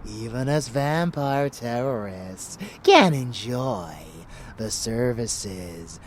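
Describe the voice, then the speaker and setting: A man playing a haughty, seductive and menacing vampire lover in an intimate ASMR roleplay, with breathy, teasing murmurs. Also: mid-range voice, expressive, a little hiss or hum. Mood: playful, smug. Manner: breathy whispering murmurs, slow and deliberate, teasing.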